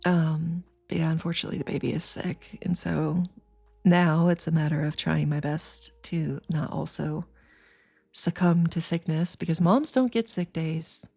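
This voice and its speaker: A woman's voice: low voice, steady tone, measured pace, gravelly, dark tone, slightly rough. A tired woman in her thirties, sniffly and congested, chatting casually with her livestream viewers in a rambling way. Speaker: streamer.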